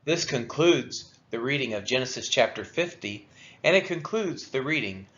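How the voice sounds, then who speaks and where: A man reading scripture aloud for a daily read-along, with measured, solemn and deliberate phrasing. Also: mid-range voice, animated, fairly bright tone. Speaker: Bible reader for a church daily read-along. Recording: dull, lo-fi sound.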